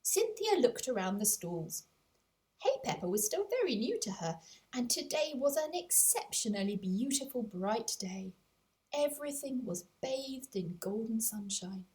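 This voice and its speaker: A woman narrating a scripted storybook as an audiobook, in an expressive storytelling manner. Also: a little high, measured pace. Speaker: author narrating her own audiobook.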